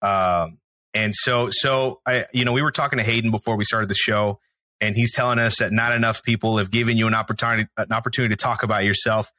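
Texan man, chatty attorney co-host, bright tense tone, dry mic. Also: on the low side, fairly even intonation, fast talker, dry recording. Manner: chatty, full of restarts and fillers. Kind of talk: podcast interview.

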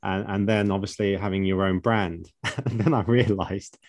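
A man's voice: low voice, steady tone, normal speed, a little mellow, dry recording, studio quality. A man co-hosting a podcast, interviewing a guest. He asks questions in a friendly way, often adding "like" and "right?". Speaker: podcast co-host. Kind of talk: hosted interview.

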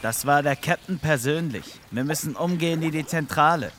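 A man playing a casual, boozy police detective with a creaky voice in a German crime audio drama. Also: fairly high pitch, moderate pace, background noise, lightly acted. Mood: alert. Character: boozy, casual male police detective, easily excited sidekick.

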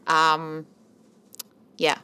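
A woman's voice: low-pitched, monotone, drawn-out words, sharp tone, clear, resonant tone. A middle-aged woman from Ukraine and Belarus, speaking as a radio interview guest and hesitating often. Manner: hesitant, many fillers and restarts. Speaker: guest, theater producer.

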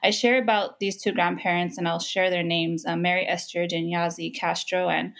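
Creaky-voiced American woman, lowish and reflective. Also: level tone, moderate pace, fairly bright tone. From Los Angeles, United States. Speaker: interview guest. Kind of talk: personal storytelling in casual talk.

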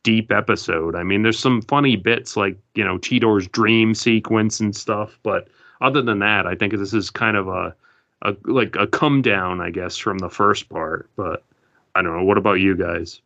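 A man's voice: lowish voice, fairly even intonation, moderate pace, creaky, fairly bright tone. A man co-hosting a casual podcast chat, talking loosely with lots of fillers. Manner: loose, filler-heavy talk. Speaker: podcast co-host. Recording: dull lo-fi audio.

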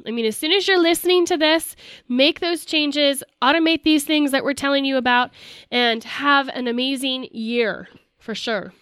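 A woman's voice: sits high, a bit bright, smooth, no echo, studio quality. A young adult woman co-hosting a casual podcast, a little nasal from a cold, talking with enthusiasm. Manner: enthusiastic, talks in long lists. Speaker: podcast co-host.

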